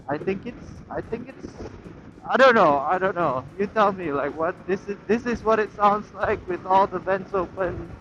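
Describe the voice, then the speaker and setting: A dull helmet-mic recording with clear wind and vehicle noise. A slightly high-voiced man chats off the cuff while riding a motorcycle, rambling a little as he thinks aloud. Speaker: motorcycle vlogger. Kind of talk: unscripted casual chat while riding. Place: outdoors on a moving motorcycle, inside a helmet. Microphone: helmet intercom microphone. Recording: muffled helmet mic, loud wind and traffic.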